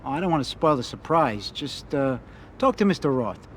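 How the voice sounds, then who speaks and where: A man's voice: medium pitch, sing-song, normal speed, slight background noise, close to an everyday voice. A man playing a griping, streetwise mafia sidekick in a video game, casual and wisecracking. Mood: casual, advising. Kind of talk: video game cutscene acting.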